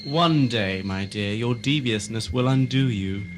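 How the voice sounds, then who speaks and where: A man's voice: medium pitch, unhurried, background noise, close to an everyday voice. An actor playing a sinister, lordly asylum keeper and father in a science fiction audio drama, silky, mock-courteous and condescending. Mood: silky menace.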